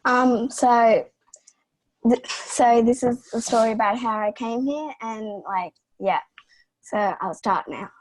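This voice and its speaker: Young girl from sri lanka reading her story slowly on zoom, higher voice. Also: a little hiss or hum, low-quality recording. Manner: careful, reading, small slips. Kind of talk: reading a personal story aloud. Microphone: video call.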